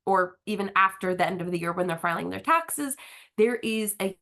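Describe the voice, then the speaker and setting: A woman's voice: medium pitch, expressive, moderate pace, sharp tone. Woman, slight cold, sniffly, webinar host, casual presenter, video call mic. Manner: casual and friendly, with frequent fillers. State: has a cold, a little sniffly. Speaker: webinar host, marketing manager.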